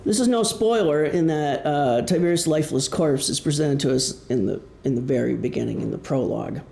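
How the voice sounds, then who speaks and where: A man from Wisconsin with a Midwestern American accent giving an explanatory public talk as an author, with deliberate pauses. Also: fairly high pitch, vocal fry, mellow. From Wisconsin, United States. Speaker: author presenting his novel. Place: indoor presentation room. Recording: echoey room.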